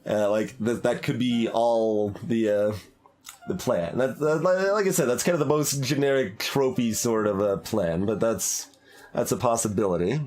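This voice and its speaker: A man giving casual, rambling commentary as he plays a game, halting and thinking aloud as he speculates, with game music behind him. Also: mid-range voice, a little mellow. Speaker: streamer doing a blind voiced playthrough. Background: video game music.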